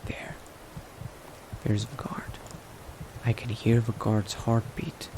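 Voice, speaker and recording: A man's voice: lowish voice, little pitch movement, slow pace. A man voicing a cautious, coldly pragmatic new vampire in a roleplay, muttering to himself and often dropping into a whisper. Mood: wary, furtive. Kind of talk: in-character roleplay narration, thinking aloud. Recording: noticeable background noise.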